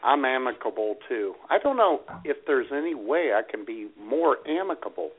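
A man's voice: mid-range voice, very animated, normal speed, husky. A man chatting casually as a radio host with dry sarcasm, over a narrow phone-like line. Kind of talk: casual chat.

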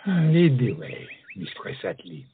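A man's voice: on the low side, big pitch swings, takes it slowly, phone-quality sound, slight background noise. An actor playing a proud, witty, self-conscious French swordsman-poet in a comic audio play, with florid, dramatic phrasing. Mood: courtly, self-conscious. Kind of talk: theatrical comedy audio-play acting.

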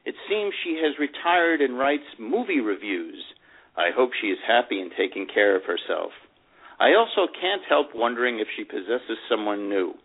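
A man's voice: medium pitch, animated, normal speed. A man playing a proud, lovelorn older divorced man, reading his memoir in an earnest storytelling manner. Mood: guarded longing. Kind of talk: dramatic first-person memoir reading. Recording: phone-like narrow radio sound.

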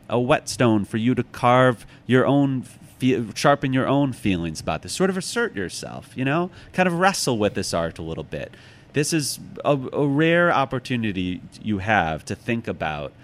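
An American man from Chicago talking casually as an interview guest, halting and thoughtful as he tells anecdotes and gives advice. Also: mid-range voice. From Chicago, United States. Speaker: author and podcast guest.

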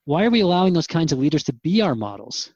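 An earnest human seeker played by a man in a radio drama, with a slightly high male voice. He questions in a conversational, sometimes halting way. The recording is dry and a touch dull. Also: big pitch swings, a little mellow, breathy edge, no echo. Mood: exasperated. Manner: hesitant, inquisitive, earnest.

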